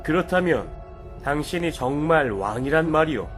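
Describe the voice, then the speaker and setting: A man's voice: fairly high pitch, animated, moderate pace, soft background music, natural voice. A man playing a stern, troubled, weary Roman governor in a children's Bible story drama, speaking in formal, declamatory, old-fashioned phrases. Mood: stern questioning.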